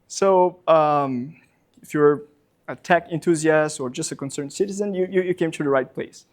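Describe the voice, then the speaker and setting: A man's voice: fairly high pitch, normal speed. A man in his thirties from Brazil, a non-native speaker with a Brazilian Portuguese accent, giving a public lecture as a university professor in a library talk room, hesitant, with many fillers and repeated words.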